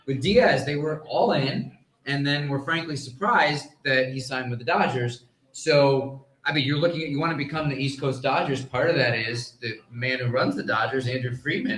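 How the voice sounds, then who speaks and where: Male panelist, mid-range pitch, explanatory and measured, roomy live sound. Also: a bit bright. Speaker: sports reporter and panelist. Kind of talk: live panel sports discussion. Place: live convention panel stage. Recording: echoey live room.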